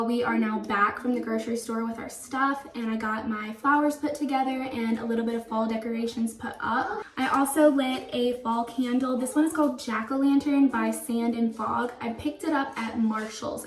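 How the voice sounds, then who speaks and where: A young woman vlogging casually at home, her voice a little raspy and froggy, rambling and relaxed with lots of "like.". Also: fairly high pitch, normal speed, hardly any pauses. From Florida. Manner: relaxed, rambling, uses "like" often. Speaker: vlogger, stay-at-home mom. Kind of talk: casual chatty vlog narration.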